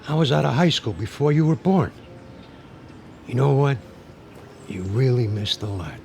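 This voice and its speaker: A man's voice: medium pitch, lively intonation, normal speed, creaky, a little mellow, a little hiss or hum. An actor playing a nostalgic middle-aged working man who talks in a folksy, clipped way in a radio drama. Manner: folksy, reminiscing, clipped casual phrasing.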